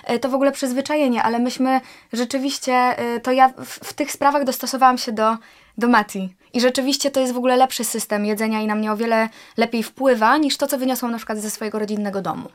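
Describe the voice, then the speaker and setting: A chatty young woman from Poland talking freely as an interview guest. Her voice sits a little high, and she speaks in long, flowing runs. Her manner is lively and easygoing. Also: fast talker. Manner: chatty and lively, laughs easily. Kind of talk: casual conversation.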